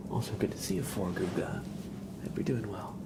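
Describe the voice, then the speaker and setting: A man streaming ASMR live, chatting casually in a gentle, hushed, breathy whisper. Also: deep voice, a little fast, very noisy.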